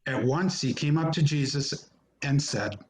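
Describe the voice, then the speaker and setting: A man narrating scripture from a script in a deliberate, solemn manner. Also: mid-range voice. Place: remote recording on a video call. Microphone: video call.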